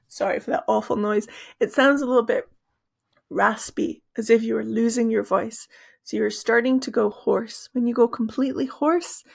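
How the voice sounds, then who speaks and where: A woman teacher with a hoarse, gravelly voice walks through story vocabulary at a patient, explanatory pace. Her tone is husky and rough, sitting a little high for a woman. She pauses and restarts often as she explains. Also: a little mellow. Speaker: English teacher.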